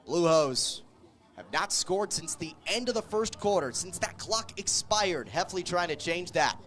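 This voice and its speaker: A man's voice: high voice, expressive, measured pace, fairly bright tone. A man doing live American football play-by-play as a radio announcer, following the action in clipped, vivid phrases. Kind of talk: live sports play-by-play commentary.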